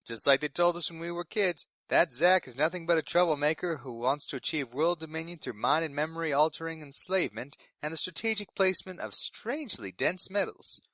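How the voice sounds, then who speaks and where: A man's voice: on the high side, animated, a little fast, few pauses, a bit bright, no room sound. A man playing a nervous, eager young intern presenting community radio news in a polite, earnest way for a scripted fiction podcast. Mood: chatty, uneasy.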